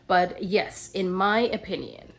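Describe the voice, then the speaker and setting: A woman's voice: medium pitch, steady tone, takes it slowly, rough recording. A middle-aged woman vlogging in a casual, rambling chat, sounding nasal as she gets over the flu. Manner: rambling, many ums and restarts.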